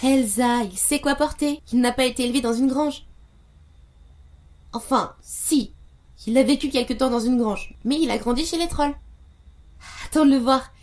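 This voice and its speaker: Woman playing a bubbly, high-voiced young princess. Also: normal speed, pauses often, a little mellow, natural voice. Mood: playful, teasing. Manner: gushing, rambling, self-correcting. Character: young princess bride, bubbly, devoted, rambling, stubbornly hopeful sister. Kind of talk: animated-fantasy fan dub acting in French. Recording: music behind the voice.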